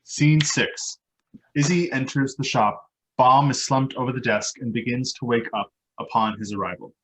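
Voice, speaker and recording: Mid-pitched man reading stage directions. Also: steady tone, normal speed, a bit bright, a little hiss or hum, low-quality recording. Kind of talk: scripted narration at a play table read.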